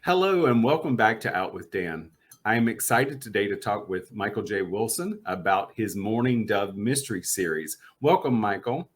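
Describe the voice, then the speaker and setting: A man's voice: fairly low pitch, animated, normal speed, breathy edge. A man hosting a podcast interview, chatty and enthusiastic, laughing often as he talks books with a guest. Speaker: podcast host. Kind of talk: casual interview chat.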